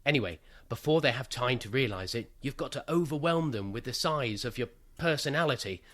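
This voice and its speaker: A man's voice: medium pitch, expressive, fairly quick, a bit bright, natural voice. An actor in a radio play playing a naive, pedantic bachelor in his thirties, earnest and a little defensive. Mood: earnest explaining. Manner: earnest, literal-minded, pedantic.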